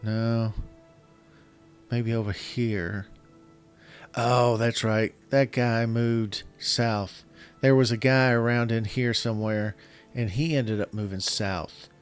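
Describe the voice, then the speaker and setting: Low-voiced man, scratchy, thinking aloud. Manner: thinks aloud, halting, restarts mid-sentence. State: slightly scratchy voice from illness and yelling. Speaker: gaming channel host. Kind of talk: casual commentary while playing a strategy game. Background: faint game music.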